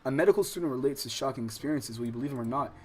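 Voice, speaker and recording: A man's voice: mid-range voice, racing. A man livestreaming a visual novel, switching between casual, slangy, exclamatory reactions and reading the game text aloud. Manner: animated, loud exclamatory outbursts. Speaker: streamer playing a visual novel.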